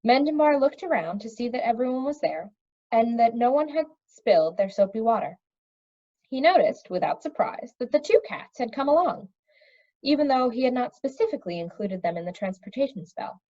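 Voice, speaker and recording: A woman reading a fantasy story aloud in an expressive storytelling manner. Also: on the high side, fairly quick, highs cut off. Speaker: audiobook reader. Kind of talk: reading a children's fantasy novel aloud with character voices.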